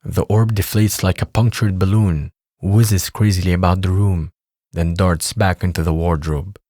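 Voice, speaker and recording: A man's voice: deep voice, fairly even intonation, moderate pace, mellow, no echo, studio quality. A man reading scripted scene narration for an audio drama.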